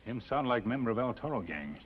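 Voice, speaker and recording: An actor playing a loyal, stoic sidekick in an old TV western, speaking terse, clipped broken English. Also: male voice, medium pitch, big pitch swings, fast talker, breathy edge. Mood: stoic, earnest. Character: loyal, stoic Native sidekick of a masked lawman. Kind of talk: 1950s television western acting. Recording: dull, noisy old TV western soundtrack.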